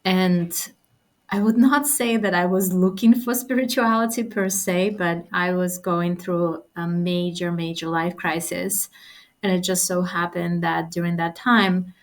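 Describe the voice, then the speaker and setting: A woman from Russia answers interview questions in an earnest, thoughtful way. Her voice sits fairly low. She speaks over a video call. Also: fairly even intonation, unhurried. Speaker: guest, spiritual mentor.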